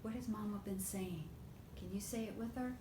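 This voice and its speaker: A woman reading a picture book aloud to young children in an expressive, animated way, often putting questions to her listeners. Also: moderate pace. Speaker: preschool storytime reader. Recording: roomy echo with faint low rumble.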